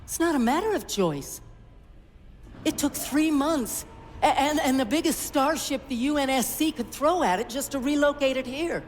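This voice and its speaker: A woman's voice: sits high, normal speed, a little hiss or hum, natural voice. A woman playing a flustered station scientist. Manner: flustered, hesitant, stammering. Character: anxious female station scientist. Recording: game cutscene, long reverb, music.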